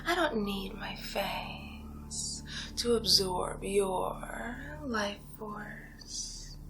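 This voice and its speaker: A woman playing an ancient, seductive female vampire in a whispered ASMR roleplay, taunting her prey in a breathy, theatrical way with drawn-out pauses. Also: medium pitch, rough recording. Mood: proud, threatening. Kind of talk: ASMR roleplay monologue, soft-spoken and whispered. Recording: music behind the voice. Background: music.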